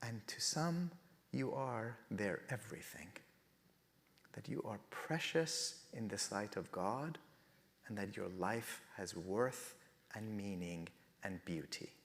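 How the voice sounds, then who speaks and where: A man's voice: on the low side, expressive, takes it slowly, gravelly, a bit bright, in a large hall. A middle-aged man whose first language is Persian, speaking earnestly at a faith festival and telling stories with rising emphasis. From Iran. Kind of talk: reflective spoken talk.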